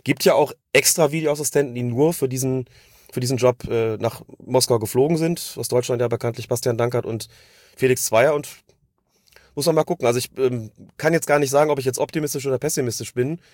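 A man's voice: medium pitch, brisk, a little mellow, dry recording, clean studio sound. A man with a slightly congested voice from a cold, giving casual, wordy, considered football commentary on a podcast. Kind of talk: casual analytical podcast talk.

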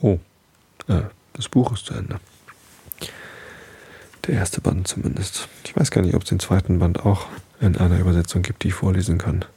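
A man hosting a bedtime story podcast, reading aloud calmly with a nasal voice from a head cold. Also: deep voice, moderate pace, long pauses, husky. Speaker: podcast host. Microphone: close podcast mic.

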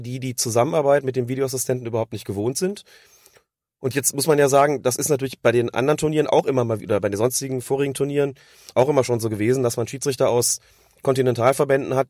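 A man, hoarse from a cold, giving long analytical explanations as a podcast co-host. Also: mid-range voice, darkish tone, dry recording, studio quality. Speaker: podcast co-host discussing refereeing. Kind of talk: casual podcast chat.

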